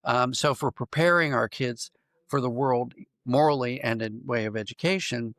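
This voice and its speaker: A middle-aged or older American man explaining ideas in a conversational interview, thoughtful and halting, often restarting his phrases. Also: medium pitch, a bit bright, no room sound, studio quality. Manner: thoughtful, halting with repeated false starts. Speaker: podcast guest, writer and dramatist.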